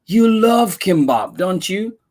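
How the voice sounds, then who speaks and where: A man voicing a gentle, caring mother in a read-aloud storybook, speaking softly and tenderly. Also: high-pitched, expressive, slow, pitched above the performer's own voice.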